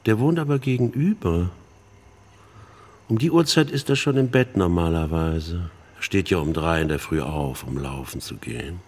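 An actor playing a sinister, smug older man in a radio play, speaking in a raspy voice with a deliberate, insinuating, condescending manner. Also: low-pitched, lively intonation, a little mellow. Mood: dismissive. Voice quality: husky, raspy. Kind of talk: radio drama dialogue.

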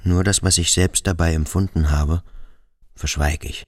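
An actor playing a brooding, sensitive boarding school pupil in a literary radio play, speaking in formal, deliberate phrases. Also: male voice, low voice, steady tone, a little mellow, no room sound, studio quality. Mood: troubled, indignant.

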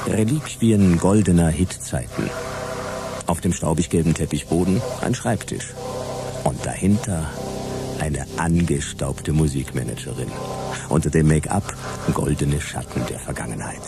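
A man playing a wry, hard-boiled detective, narrating a noir radio play in a dry, sardonic, gravelly voice. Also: very deep voice, measured pace, music in the background, full character voice.

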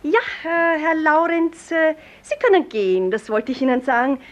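An actress playing an eager, flustered, chatty secretary in a 1950s German radio drama, rambling and correcting herself deferentially. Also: female voice, sits high, animated, normal speed, muffled recording, noisy background. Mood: awkwardly kind.

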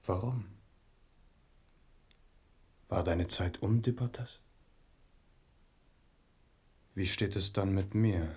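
An actor playing a proud, brooding French king in a radio drama, speaking in a measured, rhetorical way. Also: low-pitched. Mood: brooding, resigned. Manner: measured, rhetorical, self-questioning. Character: King Henri of France, brooding, proud, forceful warrior. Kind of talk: German radio drama acting.

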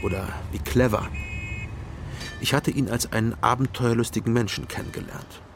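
An actor playing a wry, guarded male private detective who tells his own story in a crime radio drama, measured and dry. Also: medium pitch, creaky, a little hiss or hum, lightly acted. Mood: measured narrating. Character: Frank Doberschütz, East Berlin private detective, wry, guarded man. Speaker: narrator and lead detective. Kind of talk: first-person crime radio drama narration.

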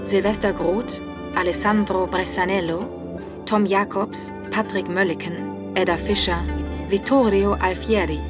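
A woman's voice: medium pitch, fairly even intonation, unhurried. A woman announcing a radio drama's title and credits in a scripted style. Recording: loud music under the voice.

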